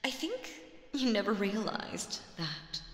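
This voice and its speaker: Woman acting as a slow, echoing, seductive demon queen. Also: mid-range voice, very animated. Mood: possessive, wistful. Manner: drawn-out, teasing, condescending, breathy whispered asides. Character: seductive, taunting demon queen, imperious and possessive. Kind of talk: slow roleplay audio drama monologue with whispers. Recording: echoey reverberant hall with music behind.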